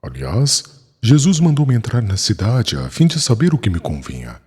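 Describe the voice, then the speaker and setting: A man's voice: medium pitch, wildly swooping pitch, moderate pace, darkish tone, slightly rough, exaggerated voice. A man playing a proud, resolute blind man full of faith, speaking formally in a dramatized religious audiobook. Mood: confident, reassuring. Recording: echoey hall with music.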